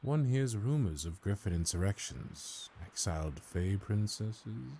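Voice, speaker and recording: Man playing a low-voiced, pompous fantasy high councilor. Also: animated, measured pace, gravelly, warm tone, a little hiss or hum. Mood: accusing. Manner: formal, pompous, slyly courteous. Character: ancient, stately male high councilor, suavely condescending. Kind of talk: audio roleplay fantasy acting.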